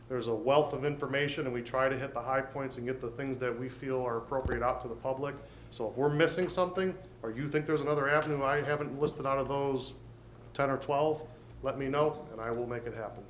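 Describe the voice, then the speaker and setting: A man's voice: medium pitch, steady tone, fast talker. Man, mayor, earnest, plain-spoken, council chamber, echoing room, faint hum. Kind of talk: council meeting remarks. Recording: roomy chamber with faint noise.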